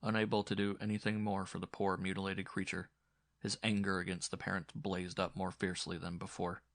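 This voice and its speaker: A male audiobook narrator reading horror fiction dramatically. His voice is fairly low and gravelly, with a forceful edge, recorded very dry. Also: level tone, brisk, no room sound.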